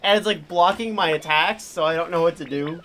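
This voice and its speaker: A man's voice: fairly high pitch, fairly quick, a bit bright, a little hiss or hum. A man giving casual live commentary as a game streamer, rambling and self-deprecating. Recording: livestream with faint game music.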